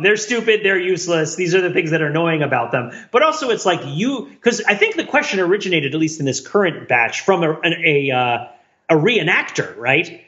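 A man with a fairly high voice, heard through slightly muffled, lo-fi audio. He talks casually as a podcast co-host, explaining in a nerdy, digressive way. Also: a bit bright. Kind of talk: casual intellectual podcast chat. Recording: slightly dull, band-limited audio.

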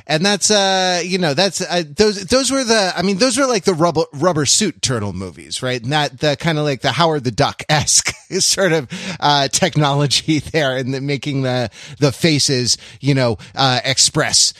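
A man co-hosting a podcast, talking through films casually in a halting, discursive way with many false starts. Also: a little high, expressive, a bit bright, no echo, studio quality. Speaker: podcast co-host.

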